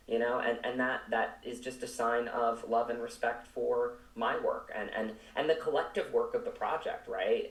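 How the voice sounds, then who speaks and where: Male interview guest, actor. Low voice, earnest and emphatic, conversational, picked up from a distance with room echo. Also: creaky. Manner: reflective, emphatic, circling back over phrases. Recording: roomy echo, distant microphone.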